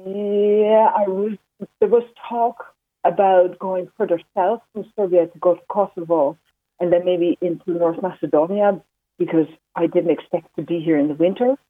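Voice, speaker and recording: A woman's voice: lowish voice, steady tone, normal speed, dry recording. A woman from the Netherlands, a walking pilgrim, talking in a phone interview with many fillers and restarts. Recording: tinny phone line.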